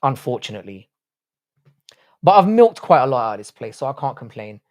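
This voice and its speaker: A man's voice: mid-range voice, sing-song, a little fast, a little mellow. A young man runs a casual live stream, reading out chat messages and firing back blunt, crude jokes. His voice sits in the middle of the male range. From Ireland, grew up in the UK. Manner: blunt, jokey, crude banter. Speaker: livestream host reading chat.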